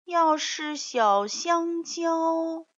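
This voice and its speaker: Woman voicing a plaintive, high little cat. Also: expressive, fairly bright tone, dull audio, heavily acted. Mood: sad, pleading. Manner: plaintive, drawn-out pleading. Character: wistful three-legged storybook cat.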